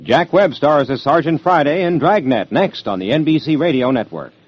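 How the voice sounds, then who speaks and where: A man's voice: a little high, lively intonation, moderate pace. An American man reading a network promo in 1950s radio announcing style. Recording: dull old radio, music behind.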